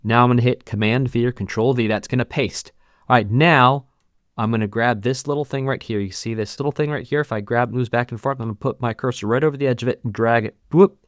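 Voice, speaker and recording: A man's voice: mid-range voice, fairly even intonation, fast, dry recording. Man giving a dry, close-miked tutorial. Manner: casual, instructional, talking through each click. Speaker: music teacher and channel host. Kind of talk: step-by-step software tutorial narration.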